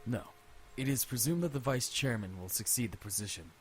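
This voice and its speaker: A composed family lawyer in a visual novel, played by a man, speaks formally and evenly at a middle pitch. Faint music plays behind the voice. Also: lively intonation, close to an everyday voice. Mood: solemn. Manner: formal, measured.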